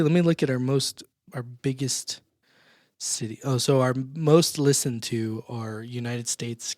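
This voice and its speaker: A man hosts a small church podcast in a loose, friendly chat. He laughs often and trips over his words as he goes. His voice sits in a middle pitch and sounds close and dry. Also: dry recording. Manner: chatty, laughs often, stumbles and restarts. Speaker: podcast host.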